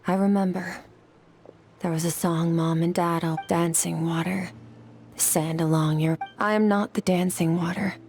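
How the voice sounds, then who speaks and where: A woman's voice: on the low side, steady tone, moderate pace, a little mellow, soft background music, natural voice. A woman voicing an aloof, burdened warrior, proud and brooding, in a video game drama. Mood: sorrowful. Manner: cool, stoic, brooding.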